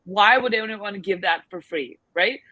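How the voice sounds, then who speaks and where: A woman's voice: mid-range voice, a little slow, sharp tone, no room sound, highs cut off. A woman answering questions as a guest expert on a video call, chatty with many fillers and restarts. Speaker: guest expert on selling abroad. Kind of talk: casual advice in a video call Q&A.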